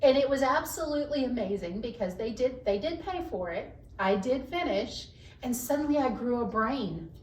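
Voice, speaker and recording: A woman with a voice that carries, telling stories about her work in a lively, self-mocking way, often laughing. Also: a little high, normal speed. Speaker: podcast guest, HR professional. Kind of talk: casual interview chat. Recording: roomy sound, mic at a distance, faint background hiss.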